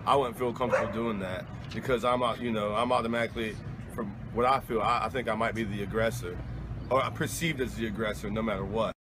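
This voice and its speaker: A man's voice: lowish voice, steady tone. A thirty-year-old man in a street interview who answers earnestly, often restarting his sentences. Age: 30s. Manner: earnest, halting, many restarts. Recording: outdoor traffic noise.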